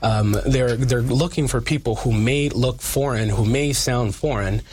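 A man's voice: medium pitch, unhurried, a little mellow, slight background noise. A man speaking as a community activist in an interview, earnest and emphatic, repeating phrases for weight.

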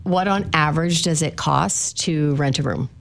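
A woman from Canada runs the interview with a low, gravelly voice. She keeps it chatty and encouraging and asks long questions. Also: normal speed. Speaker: realtor and interviewer. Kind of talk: conversational interview.

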